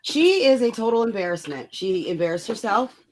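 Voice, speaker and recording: A woman's voice: medium pitch, sing-song, normal speed, a crowd in the background. A woman co-host ranting casually about politics, scornful and emphatic, swearing freely. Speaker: talk show co-host.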